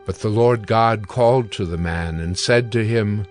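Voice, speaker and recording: Brief: male narrator for scripture, lowish voice, very slow and deliberate, faint music bed. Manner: slow, steady, deliberate reading.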